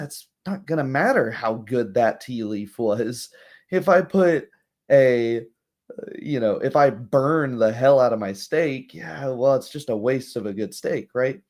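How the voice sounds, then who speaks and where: A young man hosting a livestream with casual commentary, speaking in a rambling, halting way and often checking in with tag questions. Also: medium pitch, a little mellow. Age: young adult. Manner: rambling, halting asides, frequent "right?" tags. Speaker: livestream host.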